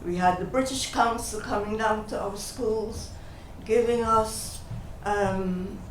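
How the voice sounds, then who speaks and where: An older woman tells stories from her own life at a slow, unhurried pace, chuckling as she goes. She is heard from some distance off the mic in an echoey hall. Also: mid-range voice, fairly even intonation, a little hiss or hum. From Guyana. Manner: unhurried, chuckles between remarks. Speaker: guest sharing personal memories. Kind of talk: conversational storytelling.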